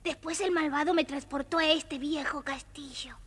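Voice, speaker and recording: A woman's voice: high voice, steady tone, normal speed, close to an everyday voice. A woman playing a mournful princess turned into an owl, speaking plaintively in a dramatized children's story.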